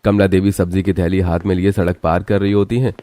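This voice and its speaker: Very deep-voiced man narrating a story. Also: little pitch movement, fast, dark tone, a little hiss or hum.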